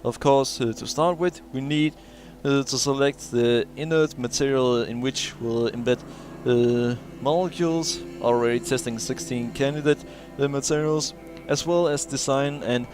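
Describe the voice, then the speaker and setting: A man's voice: medium pitch, fairly even intonation, a little slow, few pauses, a little mellow. A man playing through a video game, reading in-game logs aloud and stumbling over words, with many "uh" fillers and small chuckles. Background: faint game music.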